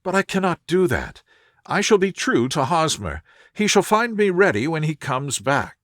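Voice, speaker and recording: A man's voice: fairly high pitch, big pitch swings, moderate pace, fairly bright tone, no room sound, clean studio sound, voice pushed up. A man voicing a distressed, loyal young woman with an earnest, plaintive manner in an audiobook story. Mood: earnest, resolute. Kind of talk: audiobook character dialogue.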